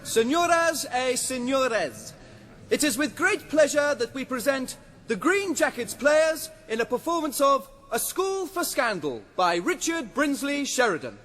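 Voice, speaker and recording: An actor playing a fussy, genial British officer who directs amateur theatricals in a radio drama, brisk and theatrical in manner. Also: male voice, extremely high pitch, very animated, bright, forceful tone, exaggerated voice. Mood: announcing. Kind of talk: BBC radio historical drama acting.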